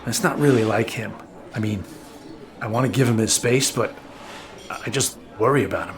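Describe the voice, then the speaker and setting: A man's voice: on the low side, moderate pace, lightly acted. An actor playing a loyal, slightly awkward everyman in a naturalistic audio drama, speaking informally and wryly with some hesitation. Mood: earnest, worried. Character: warm, awkward, loyal everyman boat owner, male. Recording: loud music bed behind voice.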